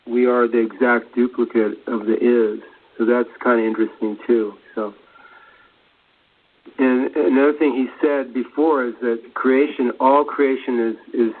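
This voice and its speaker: A man chatting casually about spiritual ideas, hesitant, searching for words and repeating himself. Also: medium pitch. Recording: narrow phone-like sound.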